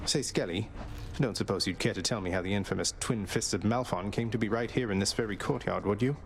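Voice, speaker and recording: An actor playing a polite, wry, defiant young underworld prince in a video game, dry and understated. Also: male voice, on the low side, quick pace, vocal fry, soft background music. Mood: friendly, wry. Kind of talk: video game character acting.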